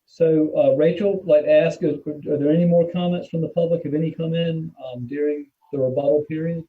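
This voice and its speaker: A man chairing a public meeting in a polite, halting, procedural way. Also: on the high side, steady tone. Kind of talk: informal procedural meeting moderation. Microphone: phone or conference call. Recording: tinny phone-like call audio.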